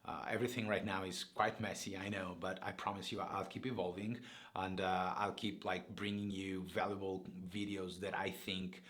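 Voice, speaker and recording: Man, 30s, Portuguese accent, somewhat low voice; earnest vlogger, lots of "like" and restarts. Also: little pitch movement, normal speed, a bit bright. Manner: earnest, rambling with many fillers and restarts. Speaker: YouTuber. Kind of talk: casual talking-head vlog.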